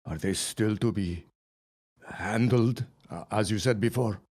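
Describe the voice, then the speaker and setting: An actor playing an obedient henchman in a period radio drama, speaking formally and with measured subservience. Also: on the low side, a little mellow. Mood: obedient, cautious. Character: deferential, obedient henchman to a villainous reverend.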